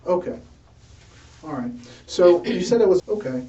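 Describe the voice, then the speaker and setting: A man's voice: high voice, lively intonation, normal speed, dark tone, roomy sound. A man questioning a suspect as a police detective, hesitant, repeating himself, with many fillers, recorded in an interrogation room. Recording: muffled far-off interrogation room audio.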